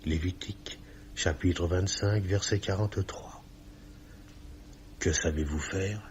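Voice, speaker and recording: An actor playing a pious, solemn old office chief who forever quotes scripture, in 1950s radio drama style. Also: male voice, low voice, steady tone, takes it slowly, a little mellow. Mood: solemn, paternal. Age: older. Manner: sententious, solemn, preachy. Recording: dull, noisy old radio recording.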